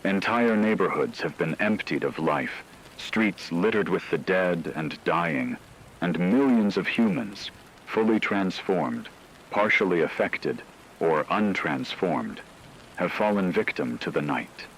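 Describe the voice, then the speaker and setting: Slow, deep-voiced male radio announcer, ominous. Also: lively intonation, fairly bright tone, slight background noise, low-quality recording. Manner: solemn, ominous, measured. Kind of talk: scripted dramatic emergency radio narration.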